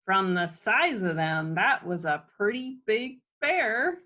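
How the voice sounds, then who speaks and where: Woman as storybook schoolboy, curious, excitable, exclaiming, unhurried pace. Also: medium pitch, full character voice. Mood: amazed. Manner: exclaiming, eager.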